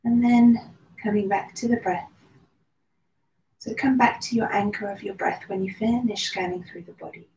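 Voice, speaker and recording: A woman leading a guided meditation, speaking slowly and soothingly with long pauses. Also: medium pitch, fairly even intonation, vocal fry, darkish tone. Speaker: meditation and yoga teacher. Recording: roomy echo with faint background noise.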